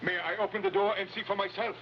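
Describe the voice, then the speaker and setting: Casting: wary, dutiful cult guard, high urgent voice, fast delivery, vintage TV style. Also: male voice, fairly even intonation, slight background noise. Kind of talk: 1950s television adventure acting. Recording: muffled old TV soundtrack, loud music.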